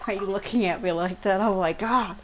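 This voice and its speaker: A woman's voice: mid-range voice, fast talker, a crowd in the background, rough recording. A woman giving casual, rambling, informal commentary as she hosts a game playthrough. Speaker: gaming video host.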